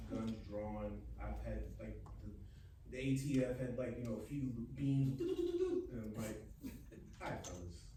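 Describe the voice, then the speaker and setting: A man speaks up casually from an audience, musing and halting as he goes. His voice is fairly low, picked up from a distance in a heavily echoing hall, with noise behind it. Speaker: audience member. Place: high up in audience seating of a hall. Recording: very echoey hall, distant, noisy.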